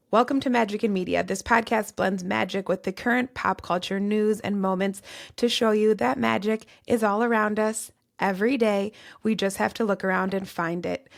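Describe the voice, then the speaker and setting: A Midwestern woman hosts her own podcast, her voice worn raspy and cracking. She has a gravelly edge at a middling pitch and moves through her points earnestly, one item after another. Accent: Midwestern American. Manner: earnest, list-like delivery. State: strained, cracking voice. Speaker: podcast host and tarot reader. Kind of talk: solo podcast monologue on astrology.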